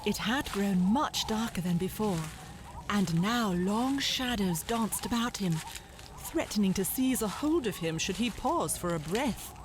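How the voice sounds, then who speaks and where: A woman reading scripted literary narration for a children's audio drama in a measured, dramatic, descriptive way. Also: mid-range voice, expressive, noisy background.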